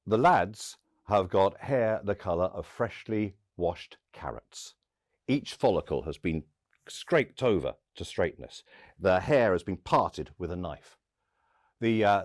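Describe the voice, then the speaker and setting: A man delivering a first-person story theatrically, with dramatic pauses and acted-out dialogue. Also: low-pitched, darkish tone, no room sound, clean studio sound. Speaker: storyteller and podcast host.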